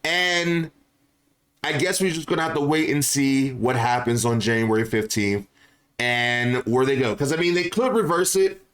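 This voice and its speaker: A man's voice: medium pitch, moderate pace, fairly bright tone. A man giving casual, opinionated gaming commentary to viewers, speaking in an informal, slangy way and repeating phrases for emphasis. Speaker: gaming YouTuber.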